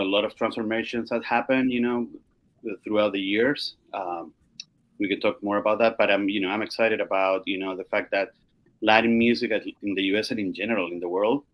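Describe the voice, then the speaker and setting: Low-voiced man, halting delivery. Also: muffled recording. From Chile. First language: Spanish. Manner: halting, frequent "you know". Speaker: music curator. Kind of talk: casual panel discussion.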